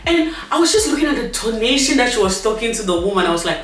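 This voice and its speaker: A young woman with a Ghanaian accent, a guest telling stories in a casual chat. She tells them in an animated way, acting out the people she talks about. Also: on the high side, fast talker. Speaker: vlog guest. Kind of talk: casual storytelling. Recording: echoey room, far from mic, faint noise.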